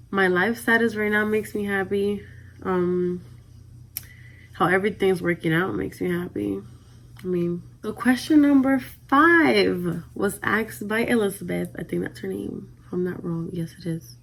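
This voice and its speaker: A woman in her twenties with a Dominican accent answers questions in a relaxed, chatty vlog. Her voice is soft, and she often lets the ends of her lines fade out quietly. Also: medium pitch. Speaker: vlogger. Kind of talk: casual Q&A vlog.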